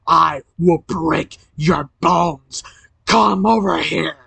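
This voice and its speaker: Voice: medium pitch, husky. Cruel fat mafia pig boss, acted by a storyteller, male, pompous story villain, drawing out every threat. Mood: threatening. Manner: slow, drawn-out, grandiose threats.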